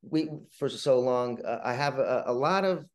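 Older male interview guest, writer type. Average pitch, halting starts, wry conversational delivery. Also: expressive. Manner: halting, repeats words, wry.

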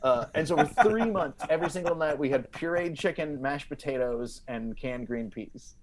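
Higher-pitched man telling comic stories. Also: normal speed. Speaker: podcast guest. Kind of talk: comedic storytelling in casual podcast chat.

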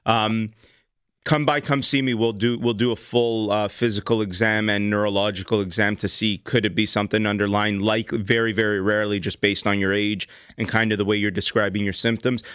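A man in his thirties with a fairly low, crisp, clear voice explains health matters to callers in a measured way. He sounds close and dry, as in a radio studio. He often restarts his sentences. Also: fairly even intonation, a bit bright, no echo. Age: 30s. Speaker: doctor and radio show host. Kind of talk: call-in health advice.

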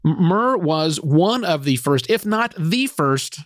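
A man's voice: on the high side, expressive, slow pace, no echo, clean studio sound. A middle-aged man with an American accent, hosting a podcast and speaking to listeners with emphatic stress and repeated words. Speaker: podcast host.

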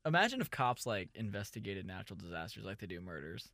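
A man rambles through absurd jokes as a podcast co-host. His voice is bright and forward, recorded close and dry with no room sound. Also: lowish voice, big pitch swings, fast talker, dry recording. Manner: jokey, improvises silly bits. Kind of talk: casual comedic chat.

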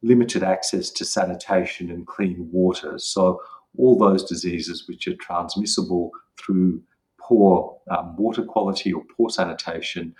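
A middle-aged man with a very low voice speaks as an expert guest. He explains things slowly and methodically, with pauses to think. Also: darkish tone, rough recording. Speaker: psychiatry professor and interviewed guest.

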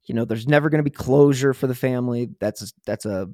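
A man's voice: medium pitch, brisk, a little mellow, dry recording, studio quality. A man hosting a true crime podcast, talking casually in an earnest, opinionated and colloquial way. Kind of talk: casual conversational interview talk.

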